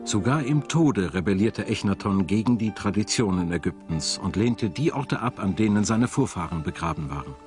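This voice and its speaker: Low-voiced male documentary narrator over music. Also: animated, normal speed, few pauses. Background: music behind the voice-over.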